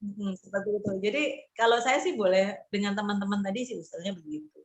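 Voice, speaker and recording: A woman's voice: medium pitch, quick pace. Hesitant woman consultant thinking aloud. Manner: hesitant, many fillers and restarts; informal, conversational explaining. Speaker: marketing consultant. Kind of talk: casual group discussion.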